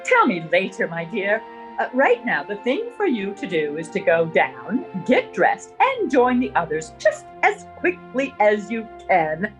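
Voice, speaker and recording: An actress playing a snobbish, scolding older aunt in a prim, fretful manner, in 1930s radio drama style. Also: female voice, mid-range voice, huge pitch swings, measured pace, rough recording. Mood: impatient, fretful. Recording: 1930s radio recording with music.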